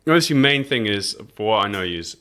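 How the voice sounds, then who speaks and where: A man's voice: on the low side, lively intonation, fast talker, bright, forceful tone, smooth. Easygoing podcast host, man. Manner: easygoing, lots of affirming "yeah"s. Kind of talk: casual podcast interview.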